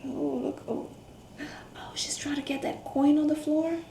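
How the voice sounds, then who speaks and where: A woman reading a picture book aloud to children, expressive, with questions, gasps, hums and hushed asides. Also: high voice, a little slow, vocal fry. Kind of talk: interactive children's read-aloud with questions to young listeners. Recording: roomy echo, faint background noise.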